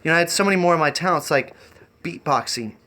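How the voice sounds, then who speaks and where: Bright, higher-voiced man rambling on a livestream. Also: lively intonation. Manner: rambling, halting, eccentric. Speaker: live streamer and variety performer. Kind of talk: improvised livestream chat.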